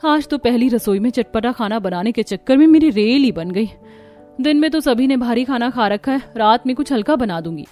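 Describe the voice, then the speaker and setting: महिला की आवाज़ में झुंझलाई जवान बहू, ऊँचा सुर. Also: female voice, on the high side, expressive, normal speed, dark tone, faint music behind, lightly acted. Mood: exasperated. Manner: exasperated, complaining. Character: harried, resentful young daughter-in-law, grumbling housewife. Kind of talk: Hindi family cartoon dubbing.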